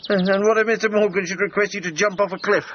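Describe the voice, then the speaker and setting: An actor playing a jovial, cocky Edwardian gentleman adventurer in a radio drama, chatty and teasing in manner. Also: high-pitched, fairly even intonation, fast, lightly acted. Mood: needling. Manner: chatty, hesitant starts, chuckling, teasing. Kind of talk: period radio drama dialogue. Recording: dull band-limited radio, faint noise.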